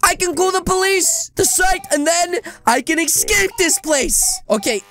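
A young man doing excitable game roleplay commentary in a very high, childlike voice. His pitch leaps about dramatically as he plays everything up, with faint music behind him. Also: a little slow. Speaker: gaming video host. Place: small bedroom-style gaming setup.

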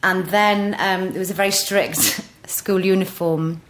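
A woman's voice: medium pitch, steady tone, a little slow, fairly bright tone, slight background noise, rough recording. A middle-aged Welsh woman, a podcast guest, telling stories frankly with easy laughs. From Wales. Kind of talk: casual chat. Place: office.

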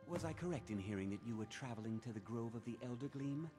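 Fantasy game pilgrim played by a man: devout, earnest, formal, mid-pitched, clear music underneath. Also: animated, fast, lightly acted. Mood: humble, hopeful. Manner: earnest, reverent, formal wording. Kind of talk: fantasy video game dialogue acting.

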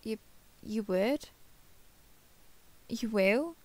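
A woman playing an earnest, affectionate starship captain in an intimate sci-fi audio roleplay, speaking haltingly and confessionally. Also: on the high side, expressive, a little mellow, dry recording. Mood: hopeful, tender. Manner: hesitant, halting, apologetic, confessional.

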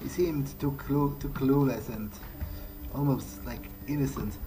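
Male gamer, mid-pitched, musing in character with halting phrasing, noticeable noise and game atmosphere underneath. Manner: hesitant, thinking aloud. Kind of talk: improvised in-character video game roleplay. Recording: clearly noisy, game ambience underneath. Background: video game ambience.

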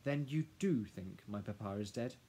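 A man's voice: mid-range voice, lively intonation, moderate pace, mellow, a little hiss or hum, lightly acted. A man voicing an earnest, loyal young girl with a questioning manner in a storybook. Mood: anxious.

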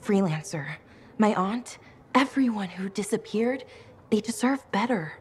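A woman's voice: mid-range voice, lively intonation, a little slow, darkish tone, husky. A woman playing an impulsive, eager young adventurer in a video game, speaking expressively. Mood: earnest.

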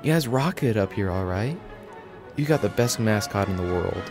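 A man playing an easygoing, resigned high school guy in a radio play. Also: animated, normal speed, over music, lightly acted.

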